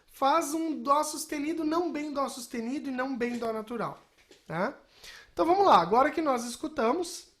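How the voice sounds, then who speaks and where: A woman speaks in a somewhat high-pitched voice. She teaches in an informal, explanatory way, like a music technology instructor walking through software. She often adds short tag questions to check that listeners follow. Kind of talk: informal spoken software lesson.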